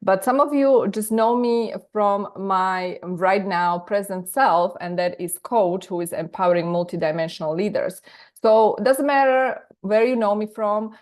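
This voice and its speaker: A woman's voice: medium pitch, unhurried. A woman from Slovakia with a Slovak accent, a business coach talking energetically in a live online masterclass over a video call, often repeating words for emphasis.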